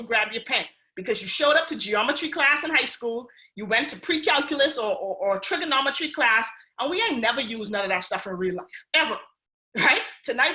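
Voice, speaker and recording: A Bahamian woman with a high, bright voice and a Bahamian accent delivers an animated motivational pitch. She talks to listeners as "y'all", keeping it casual and real. The sound carries a clear echo from the room. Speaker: speaker on a recruiting call. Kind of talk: motivational pitch on a conference call.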